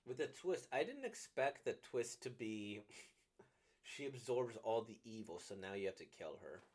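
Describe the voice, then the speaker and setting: Guy with a lowish voice doing chill unscripted game commentary, kinda rambly, thinks out loud. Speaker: streamer reacting to a visual novel. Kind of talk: casual off-the-cuff game commentary.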